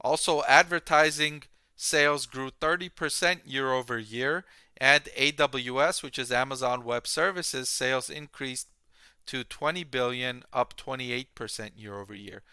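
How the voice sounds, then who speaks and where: A man presents financial commentary as an analyst addressing his viewers. His voice is clear and forward, with a bright edge, in a very dry recording. He explains each point plainly and deliberately. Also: mid-range voice, no echo. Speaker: host, stock analyst.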